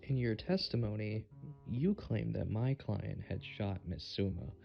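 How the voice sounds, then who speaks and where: A man playing an earnest, determined defense attorney in an audio drama, measured and theatrical, on a dull lo-fi microphone. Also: fairly low pitch, gravelly, faint music behind. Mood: confident challenge.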